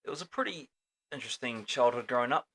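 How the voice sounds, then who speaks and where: A man's voice: medium pitch, expressive, quick pace, pushed and bright. A man from Auckland with a New Zealand accent, a guest on a casual podcast in a small studio, talking in an easygoing, chatty way with lots of fillers. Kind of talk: casual chat interview.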